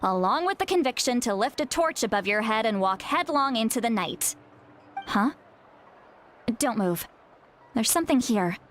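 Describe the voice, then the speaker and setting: A woman playing a self-assured female oracle in a video game, speaking in a measured, formal, authoritative manner. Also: on the high side, expressive, slight background noise, natural voice. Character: self-assured, solemn female oracle shaman, briskly dismissive. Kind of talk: video game character acting.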